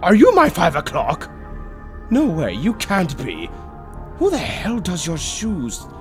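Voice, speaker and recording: Suave theatrical demon tempter for roleplay audio: high-ish, very animated delivery, mock courtesy, condescending drawl. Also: male voice, slow, music in the background, heavily acted. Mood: condescending, bored. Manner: drawling, flamboyant, condescending politeness. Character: suave, theatrical demonic bird tempter, mockingly polite villain. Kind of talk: scripted character roleplay audio.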